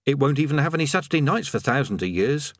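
Weary, cowardly wizard, read by a male narrator: dry and gloomy, lively in pitch, close dry recording. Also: fast, no echo, close to an everyday voice. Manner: dry, gloomy, matter-of-fact. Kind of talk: audiobook character voice.

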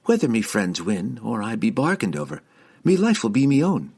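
A man voicing a rough, scheming female sailor who is held captive, talking in a coarse dialect. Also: mid-range voice, big pitch swings, moderate pace, a little mellow, full character voice. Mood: taunting. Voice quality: coarse dialect, dropped words.

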